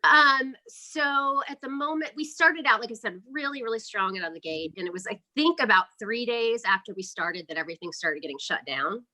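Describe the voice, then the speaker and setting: A woman's voice: on the high side, expressive, a little fast, few pauses, pushed and bright, rough recording. A woman speaking casually and chattily as an interview guest, explaining her work as an independent filmmaker.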